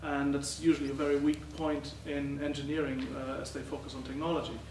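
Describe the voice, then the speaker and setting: A middle-aged man giving a conference talk as a professor presenting research, speaking haltingly with many uh and um fillers. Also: medium pitch, flat delivery, a little mellow. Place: lecture hall. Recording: roomy, distant, faint noise.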